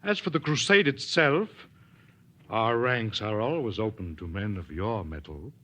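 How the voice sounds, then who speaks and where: An actor playing a smug, oily colonel in a 1940s radio drama, pompous and patronizing as a recruiter for a crusade. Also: male voice, lowish voice, animated, measured pace, sharp tone, heavily acted. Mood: oily, persuasive. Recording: muffled 1940s radio recording.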